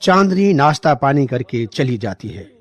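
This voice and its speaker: A man's voice: mid-range voice, sing-song, normal speed, dry recording. A man narrating a scripted moral story as a storyteller.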